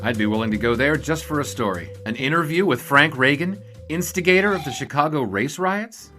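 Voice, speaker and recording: An actor playing a reflective, wry 1920s sportswriter, narrating his story in a measured way in an audio drama. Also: a little high, expressive, bright, forceful tone. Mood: dry, wry. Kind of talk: scripted first-person drama narration. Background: prominent music.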